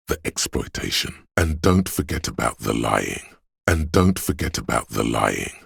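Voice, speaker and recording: An actor voicing a gentle, perceptive inner voice of empathy in a video game, with solemn, measured, intimate narration in a gravelly, husky voice. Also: male voice, very deep voice, no room sound, clean studio sound, full character voice. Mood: grave, reproachful. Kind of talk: dramatic second-person game narration.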